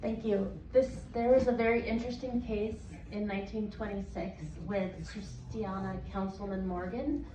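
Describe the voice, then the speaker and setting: A woman's voice: medium pitch, steady tone, normal speed, few pauses. A woman in the audience sharing a story at a theater talkback in a conversational way. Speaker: audience member. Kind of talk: commenting at a talkback. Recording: echoey, distant, noisy room recording.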